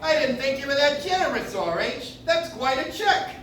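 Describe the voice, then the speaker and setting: A man acts a brash, competitive store owner in a stage radio play. His voice is strikingly high. The recording is distant, with strong echo and some hiss. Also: very animated, moderate pace, fairly bright tone, exaggerated voice. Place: coffeehouse stage, picked up from the audience. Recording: echoey faintly noisy room, distant microphone.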